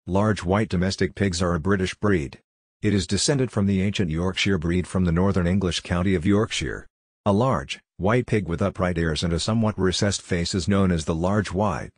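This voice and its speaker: A man narrating a scripted farming guide in a steady, even reading voice. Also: low voice, husky, dry recording, clean studio sound.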